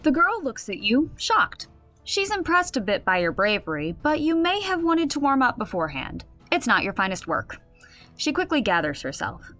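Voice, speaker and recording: Female game master narrator, animated and fairly high, dramatic storytelling. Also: moderate pace, soft background music, heavily acted. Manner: storytelling, expressive.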